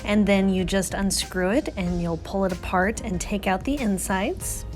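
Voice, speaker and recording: A woman's voice: mid-range voice, normal speed. A woman giving a casual, chatty and self-deprecating voice-over for a craft tutorial. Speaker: craft vlogger, host. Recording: music under the voice. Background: music.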